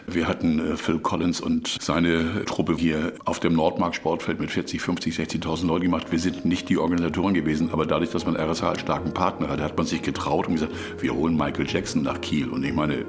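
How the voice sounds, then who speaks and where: Very deep, fast-talking man in an interview. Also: little pitch movement, faint music behind. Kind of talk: unscripted interview recollection.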